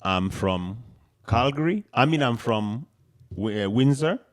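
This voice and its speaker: A man's voice: mid-range voice, lively intonation, measured pace. A man born in Canada co-hosting a casual discussion, explaining history in a lecturing way with emphatic rises.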